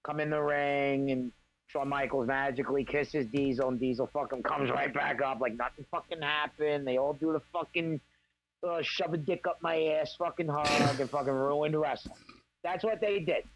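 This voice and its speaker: A man's voice: a little high, fairly even intonation, moderate pace, a little hiss or hum, poor audio. A man co-hosting a casual podcast, ranting with heavy swearing. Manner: ranting, profane. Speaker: podcast co-host. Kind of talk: casual chat.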